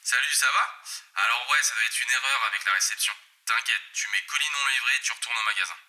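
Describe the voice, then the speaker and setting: A man acts out an easygoing male coworker at a delivery depot in an audio story. The voice is strikingly bright and sharp, and the delivery is casual and colloquial, as in natural dialogue. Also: mid-range voice, fast, low-quality recording. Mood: casual, reassuring. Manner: offhand, colloquial.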